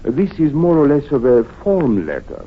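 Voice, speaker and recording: Suave consul on tinny old radio. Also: male voice, a little high, wildly swooping pitch, moderate pace, husky, exaggerated voice. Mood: courteous, careful. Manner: formal, courteous, hesitant phrasing. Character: suave, courteous male foreign consul, formal and defensive. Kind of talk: old-time radio drama acting. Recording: tinny, noisy vintage radio recording.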